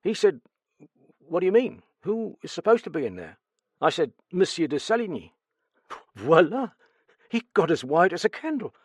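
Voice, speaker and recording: Casting: a pale, jittery steward who stammers and pleads, voice pitched high and dry, as a male narrator puts him on. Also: big pitch swings, moderate pace, pauses often, husky, dry recording, full character voice. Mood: nervous. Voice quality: stammering, frightened. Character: nervous stammering male French servant.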